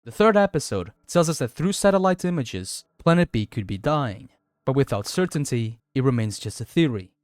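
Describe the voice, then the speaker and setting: A man's voice: medium pitch, big pitch swings, moderate pace, a little mellow, dry recording, studio quality. A man reading scripted video essay narration in a dramatic storytelling manner.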